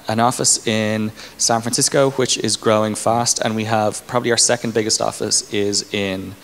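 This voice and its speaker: A man in his thirties explaining things informally in a conference Q&A, often hedging with "like" and "kind of". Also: lowish voice. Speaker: tech company engineering leader being interviewed. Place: indoor conference stage. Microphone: handheld microphone. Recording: echoey hall, clear background room noise.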